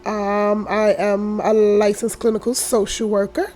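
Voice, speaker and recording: A woman in her thirties, a podcast guest who is hoarse from a cold. She shares her story slowly, with many fillers. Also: mid-range voice, level tone, a little mellow, a little hiss or hum. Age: 30s. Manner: slow and unhurried, with frequent fillers. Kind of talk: casual interview, telling her story.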